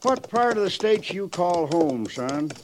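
Old male western scout, American rustic drawl, homespun and opinionated, slightly high voice, noisy vintage radio sound. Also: big pitch swings, measured pace. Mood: defending, reasoning. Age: older. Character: folksy old male frontier army scout.

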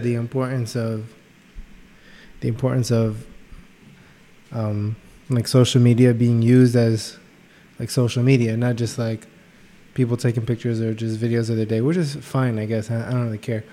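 A man's voice: medium pitch, warm tone. A young man co-hosting a podcast, rambling and searching for words with many fillers. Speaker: podcast co-host. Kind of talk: casual podcast chat.

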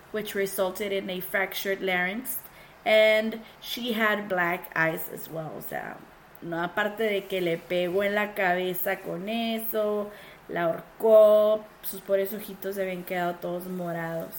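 Woman podcast co-host, hoarse from illness, bilingual casual storytelling, faint noise. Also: medium pitch. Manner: switches between English and Spanish mid-sentence. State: sick, coarse voice. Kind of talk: casual true-crime chat.

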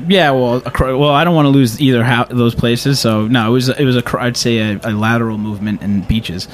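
A man hosting a radio show in a studio, chatting casually in a halting, rambling way. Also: medium pitch, slight background noise. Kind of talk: casual talk show chat.

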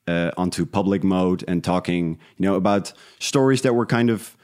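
Low-voiced man in casual interview. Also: moderate pace, darkish tone. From Netherlands. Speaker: interview guest, independent podcast creator.

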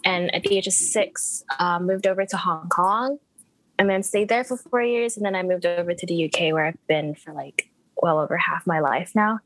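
A young woman with an international English accent that leans American chats casually as a guest, laughing lightly between her points. Her voice sits at an ordinary pitch and comes across close and dry, with no room sound at all. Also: moderate pace, fairly bright tone, no echo, low-quality recording. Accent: international English with an American lean. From Malaysia. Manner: chatty, giggles between points. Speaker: podcast guest. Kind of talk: casual chat.

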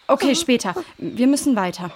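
A woman's voice: a little high, animated, moderate pace, a little mellow, close to an everyday voice. Woman as a level-headed forest guide. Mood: determined. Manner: explanatory, reassuring. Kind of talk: children's radio play acting.

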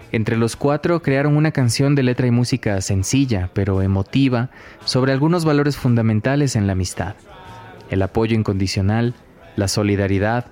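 A man hosting a podcast, reading scripted documentary narration in a measured, reflective way. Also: lowish voice, darkish tone.

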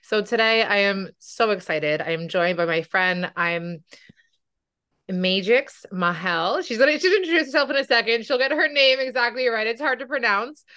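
Enthusiastic woman podcast host, bright voice, keeps saying right?. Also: on the high side, animated, moderate pace. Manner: enthusiastic, often adds "right?". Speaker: podcast host, weight loss coach. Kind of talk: podcast interview.

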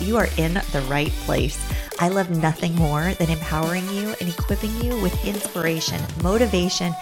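A middle-aged woman hosting a podcast, upbeat and emphatic, rattling off lists in quick bursts. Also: lowish voice. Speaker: podcast host. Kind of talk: scripted intro then interview.

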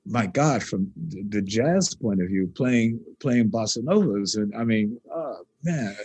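A man from New York speaks with a slightly husky voice, recorded close and dry. He is a guitarist being interviewed, and he tells animated, rambling stories full of restarts. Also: lowish voice, measured pace, no echo. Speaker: guitarist and composer. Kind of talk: casual interview conversation.

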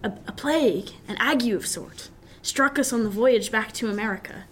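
A woman's voice: a little high, moderate pace, a little hiss or hum, close to an everyday voice. A woman playing a level-headed, dryly witty young male officer in a period seafaring radio drama, with formal nautical phrasing. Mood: dryly teasing.

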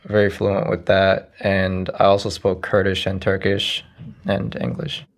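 A young man of about twenty from Iraq, whose first language is Arabic, talks in a low voice with a gravelly edge. His answers come out soft and hesitant, padded with frequent "you know"s, as an interview guest in a casual conversation. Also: monotone, measured pace. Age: around 20.

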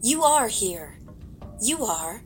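Very slow, halting computer intelligence. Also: female voice, on the high side, big pitch swings, darkish tone, faint music behind. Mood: halting, recognizing. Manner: halting, deliberate, measured. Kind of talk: sci-fi audio drama.

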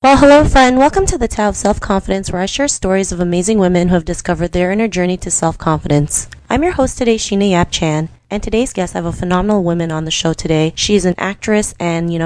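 A woman's voice: medium pitch, darkish tone, no echo. A woman hosting an interview podcast, upbeat and encouraging, often saying "you know". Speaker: podcast host.

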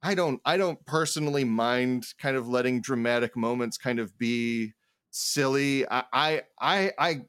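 A man talks in a casual, rambling way, working out his thoughts as he goes and often circling back mid-phrase. His pitch is average for a man, and the sound is dry with very little room to it. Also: fairly bright tone, clear, resonant tone, no echo. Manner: halting, rambling, thinking aloud. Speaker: podcast co-host and game master. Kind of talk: casual advice chat.

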